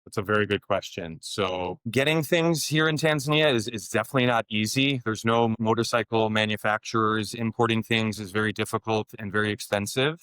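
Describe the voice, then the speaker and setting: A man's voice: lowish voice, a little fast, hardly any pauses, a bit bright, smooth, dry recording. A man co-founding a motorcycle tour business, answering interview questions in an easygoing way, thinking aloud with frequent restarts.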